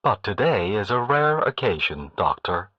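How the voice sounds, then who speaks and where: A man's voice: lowish voice, sing-song, measured pace, slightly rough, no echo, exaggerated voice. A man voicing a polite, earnest talking machine in a game, with slightly muffled, band-limited sound. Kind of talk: game character voice acting.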